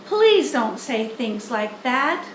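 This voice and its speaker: A woman's voice: a little high, big pitch swings, slow pace, echoey room, a little hiss or hum. A woman voicing an eager, anxious, polite young male pig in a children's storybook, effusive and apt to stammer when upset. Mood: dismayed, gloomy. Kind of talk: children's storybook character reading.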